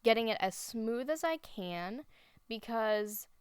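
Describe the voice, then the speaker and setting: A woman giving a relaxed voice-over, explaining her painting steps as she thinks aloud. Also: a little high, slow, clean tone, dry recording, clean studio sound. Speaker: art instructor. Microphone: voice-over recorded separately.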